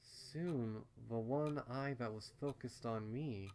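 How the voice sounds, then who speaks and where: Slow-paced man narrating a story. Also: medium pitch, darkish tone, slight background noise. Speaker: fan fiction narrator. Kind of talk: first-person story narration.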